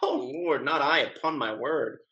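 A man's voice: on the high side, measured pace, sharp tone, muffled recording. A young male actor playing a breezy, irreverent rake of a younger man in a jaunty period stage comedy. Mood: amused, mocking. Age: young adult. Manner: jaunty, mocking period speech. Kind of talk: Restoration-style stage comedy over video call. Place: home room with bookshelves, video call.

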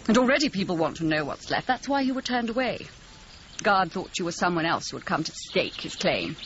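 An actress playing a dignified, sorrowful aristocratic patroness in a radio drama, formal and measured. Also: female voice, fairly low pitch, very animated, highs cut off, noisy background. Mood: grave. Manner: formal, grave, measured short sentences.

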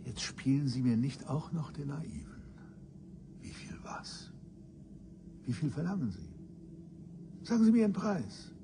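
Cynical, theatrically animated old professor. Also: male voice, on the high side, measured pace, pauses often, whispered. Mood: contemptuous, impatient. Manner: pompous, theatrical, sardonic, elaborately worded. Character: cynical, bitter old male professor, arrogant scheming scientist. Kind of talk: 1980s German science fiction radio drama acting.

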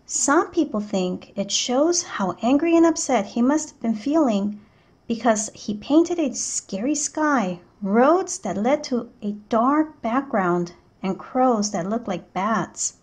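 High-voiced woman reading a children's book. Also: lively intonation, takes it slowly, a little mellow, husky. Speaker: teacher reading a picture book aloud. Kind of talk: children's read-aloud narration.

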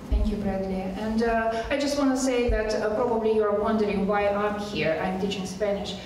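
A middle-aged native Russian-speaking woman from Latvia, an instructor introducing herself on a panel in a halting, informal way. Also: medium pitch, fairly even intonation, darkish tone. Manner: halting, frequent hesitations. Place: college event room, standing and seated at table. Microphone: handheld microphone. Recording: echoey hall, distant mic, faint noise.

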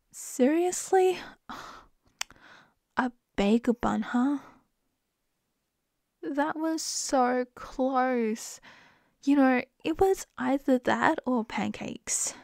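A woman's voice: high voice, creaky, darkish tone, no room sound. A woman with an Australian accent in a close-mic ASMR roleplay as a wife, playful and giggly.

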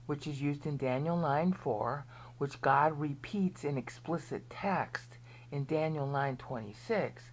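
A woman with an American accent and a low, deep voice walks through scripture in a rambling, explanatory way. She often checks in with her listeners and now and then drops almost to a whisper. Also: darkish tone. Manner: drops to a near whisper at times. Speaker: Bible teacher. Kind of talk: screen-share Bible lesson.

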